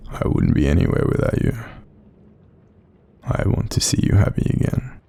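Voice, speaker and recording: Man playing a very deep, gravelly Australian demon, gentle. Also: wildly swooping pitch, normal speed, heavily acted. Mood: soothing, reassuring. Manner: gentle, reassuring, informal. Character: kind, supportive male sleep paralysis demon watching over someone. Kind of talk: soothing roleplay audio monologue.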